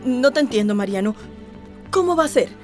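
A fussy, genteel rich wife from a radio soap voiced by an actress, anxious and fairly high in pitch. Music plays clearly behind her. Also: animated, brisk, darkish tone, natural voice. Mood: anxious, puzzled. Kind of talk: radio drama acting.

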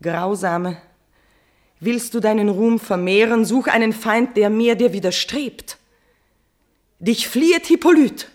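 An actress playing a guilt-ridden queen in a classical tragedy, declaiming verse with a husky voice, impassioned and swinging between hush and outcry. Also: a little high, takes it slowly, pauses often. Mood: vengeful fury. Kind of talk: declamatory classical German stage verse acting.

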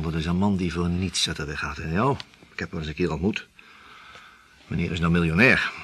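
An actor playing a determined, level-headed detective in a radio play, reasoning things through earnestly. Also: male voice, deep voice, creaky, fairly bright tone, breathy edge, heavily acted.

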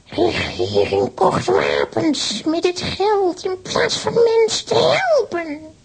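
Casting: vengeful old witch for children's radio drama, very high, creaky, extremely slow declaiming. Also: wildly swooping pitch, a little hiss or hum, full character voice. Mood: trance-like, bitter. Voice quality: shrill, creaky. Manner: slow, drawn-out, theatrical declaiming. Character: cackling old witch, bitter, vengeful and wily. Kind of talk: children's radio play acting.

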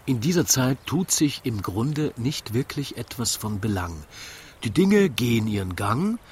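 A man with an Austrian accent narrates a scripted radio play in rhyming verse, in a wry, deliberate, theatrical way. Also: medium pitch, sing-song, a little mellow, a little hiss or hum.

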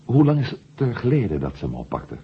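A man acting in a 1970 radio drama as a discreet, probing family friend, speaking hesitantly with pauses, on an old recording. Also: on the low side, wildly swooping pitch, vocal fry, lo-fi sound, slight background noise, exaggerated voice. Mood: probing, earnest.

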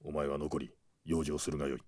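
A dignified old knight advisor, with a deep, mellow voice. He speaks in a grave, formal manner, stern and measured, as in an anime audio drama. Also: flat delivery, no echo, studio quality. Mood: firm.

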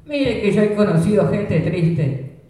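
An actor playing a wry, deadpan gaucho countryman with a Rioplatense accent in an amateur radio play. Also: mid-range voice, unhurried, recorded from a distance, close to an everyday voice. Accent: Rioplatense Spanish. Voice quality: dry, deadpan humor. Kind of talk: amateur radio drama acting. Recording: echoey room, off-mic.

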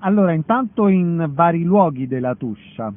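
A man's voice: a little high, lively intonation, moderate pace. A man hosting a radio interview and introducing his guest. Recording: narrow phone-like radio sound.

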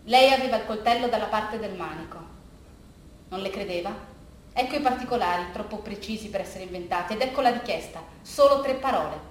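A woman performing a theatrical monologue, telling a story dramatically with halting repetitions. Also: mid-range voice. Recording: very echoey room with faint noise.

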